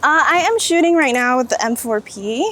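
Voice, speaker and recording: High-voiced woman, chatting on the street. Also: expressive, brisk. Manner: enthusiastic, informal, peppered with "like". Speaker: street photographer being interviewed. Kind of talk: casual interview chat while walking. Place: outdoors on a city street. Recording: outdoor city street traffic noise. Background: street ambience and passing vehicles.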